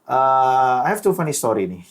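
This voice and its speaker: Animated man telling stories. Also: medium pitch, moderate pace. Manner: animated storyteller, mixes English into talk. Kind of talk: casual chat.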